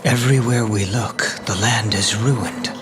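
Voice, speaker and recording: A man playing a brooding, protective young dark knight in a fantasy video game, grave and archaic in his speech. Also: on the low side, steady tone, measured pace, natural voice. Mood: grave, weary. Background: loud music.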